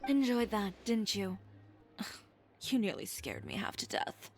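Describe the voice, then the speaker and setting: A confident young fashion designer for a video game. She speaks at an ordinary womanly pitch, composed and sharp-tongued with a cool teasing edge. Music plays under the voice. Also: wildly swooping pitch, unhurried, gravelly, full character voice. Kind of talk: polished video game voice acting.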